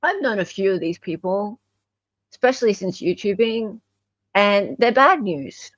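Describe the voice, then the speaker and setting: An Australian woman hosting a casual live commentary, chatting with dramatic pauses, emphasis and rhetorical questions. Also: mid-range voice, animated, no echo, dull audio. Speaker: livestream host commentator.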